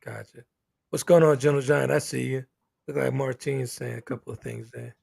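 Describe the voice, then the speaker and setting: A laid-back man co-hosting a live panel chat, affirming the others and greeting viewers. His voice is gravelly with plenty of fry. He sits close to a dry mic at a middle pitch. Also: fairly even intonation, moderate pace, darkish tone, no room sound.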